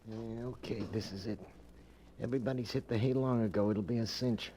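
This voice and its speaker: An American actor playing a cocky, bullying small-time crook in a 1940s radio thriller, talking in tough, insistent slang. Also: male voice, medium pitch, steady tone, a little fast, noisy background, lightly acted. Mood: hushed, impatient. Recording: old 1940s radio recording, music behind.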